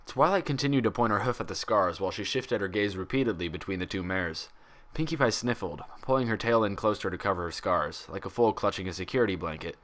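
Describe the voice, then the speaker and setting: A man reading fan fiction aloud as narrator, with dramatic, expressive scripted delivery. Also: fairly low pitch, fast talker.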